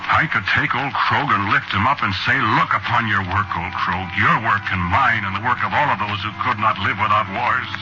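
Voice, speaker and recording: An actor as a low-voiced, mournful last man alive. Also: close to an everyday voice. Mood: bitter, mournful. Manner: solemn, poetic, measured delivery. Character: mournful, reflective last man alive remembering a dead world. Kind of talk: 1940s radio drama monologue. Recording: noisy, muffled 1940s radio broadcast.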